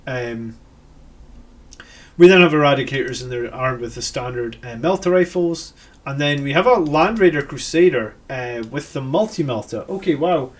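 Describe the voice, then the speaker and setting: A man's voice: medium pitch, lively intonation, moderate pace. A Scottish man hosting a live stream, thinking aloud about army lists with many fillers and self-corrections. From Scotland. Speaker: host reviewing army lists. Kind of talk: live-stream casual commentary.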